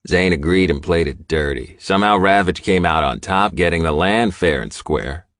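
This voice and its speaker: Man as a grim, low-voiced biker lieutenant. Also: animated, normal speed, a bit bright, no echo, studio quality. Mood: grim, blunt. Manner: terse, tough, blunt. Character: grim, matter-of-fact male outlaw biker lieutenant.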